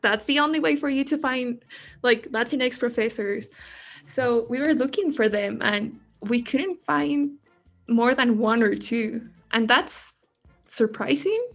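A young woman, a university student, explaining her views in a casual podcast discussion. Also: fairly high pitch. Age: young adult.

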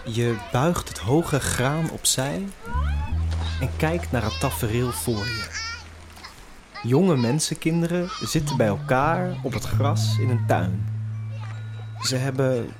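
A man narrating an audio drama in scripted, suspenseful short phrases with long pauses. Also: medium pitch, lively intonation. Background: music.

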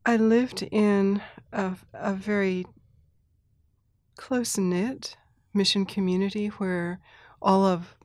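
A middle-aged woman, an interview guest who grew up in Thailand, speaking thoughtfully with restarts, soft laughs and throat clearing. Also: medium pitch, fairly even intonation, slow, no room sound, studio quality. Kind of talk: reflective conversational interview.

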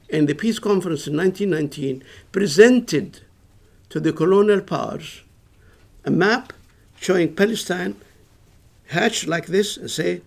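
Older man, impassioned and gravelly lecturer. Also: on the high side. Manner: earnest, emphatic, rising to passion. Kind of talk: lecture and Q&A answers.

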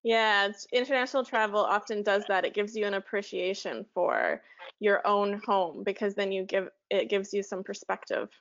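Creaky-voiced woman hosting a podcast. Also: medium pitch, moderate pace, a bit bright, lo-fi sound. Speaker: podcast host. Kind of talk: casual interview chat.

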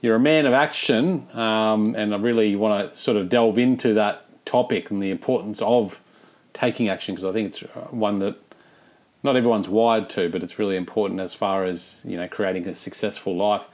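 Podcast host, male, lowish voice, informal and matey, feels his way through questions. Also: normal speed, a little mellow. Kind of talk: conversational interview.

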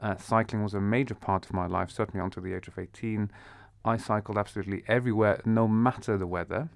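Guy from the netherlands hosting an academic chat, low creaky voice, measured questions. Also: steady tone, dry recording. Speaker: interview host, academic economist. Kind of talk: conversational academic interview.

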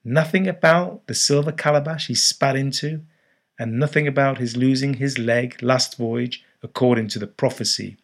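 A man's voice: medium pitch, normal speed, gravelly, voice pushed up, close to an everyday voice. A man voicing a ragged old male sailor who speaks in an earnest, cryptic, ominous way in an audiobook. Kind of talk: audiobook character voice.

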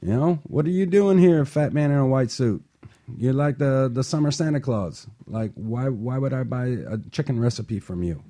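A man's voice: mid-range voice, lively intonation, normal speed, mellow, pitched above the performer's own voice, lightly acted. A man voicing a dismissive male diner owner who mocks a stranger's offer. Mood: scoffing. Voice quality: mocking, incredulous.

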